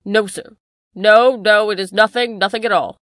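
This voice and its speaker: Woman voicing a nervous secretive butler, pleading, slightly lower voice, dry close recording. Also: big pitch swings, moderate pace, dry recording. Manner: pleading, agitated, then stubbornly defiant. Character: frightened, secretive male butler, defiant.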